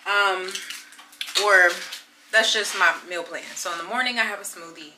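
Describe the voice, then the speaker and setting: A candid woman in her thirties talks to her viewers in a relaxed vlog, laughing easily as she goes. Her voice is strikingly bright and sits at an ordinary pitch. A faint hiss runs under the recording. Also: normal speed. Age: 30s. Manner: chatty, candid, laughs often. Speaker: vlogger. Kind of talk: casual vlog chat.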